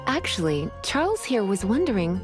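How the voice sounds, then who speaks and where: A woman's voice: mid-range voice, big pitch swings, moderate pace, natural voice. A woman voicing a languid, teasing and gracious young sorceress in an anime game, with silky endearments. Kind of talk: anime video game voice acting. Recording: music behind the voice.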